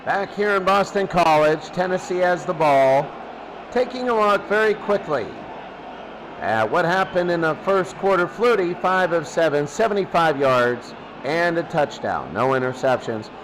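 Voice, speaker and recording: A high-voiced man works as a football play-by-play announcer on radio. He delivers plays and statistics at a slow, deliberate pace. Clear noise runs behind his voice. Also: animated, a bit bright, rough recording. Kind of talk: live football commentary and stat recaps.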